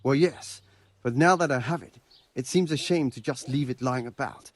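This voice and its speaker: A man playing a proud but cautious medieval swordsmith in a video game, with a husky voice. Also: medium pitch, normal speed, noisy background, natural voice. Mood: wistful. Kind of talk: video game dialogue acting.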